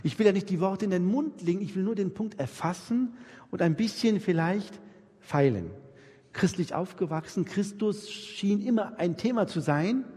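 A man's voice: sits high, moderate pace, mellow, slightly rough. A man leading an interactive church workshop, coaching his audience emphatically, repeating himself and often checking that they follow. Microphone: microphone for a live recording. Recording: reverberant hall with long echo.

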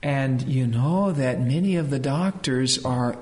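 Slow, measured man speaking to a group. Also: mid-range voice, darkish tone, a little hiss or hum. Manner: halting, pausing for interpretation. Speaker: health speaker taking audience questions. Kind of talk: live talk with question and answer.

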